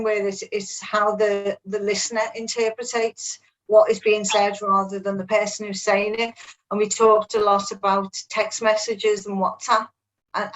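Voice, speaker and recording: A middle-aged woman contributes to a group discussion. Her pitch is average and stays quite flat, and she comes across as earnest and plain-spoken. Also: rough recording. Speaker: manager taking part in a webinar. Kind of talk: sharing reflections in a group discussion. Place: online video call.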